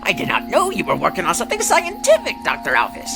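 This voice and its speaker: A woman's voice: medium pitch, huge pitch swings, quick pace, over music. A woman voicing a pompous, earnest male skeleton in a fan comic dub, with formal, theatrical speech. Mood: puzzled, earnest. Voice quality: creaky, bright, forceful.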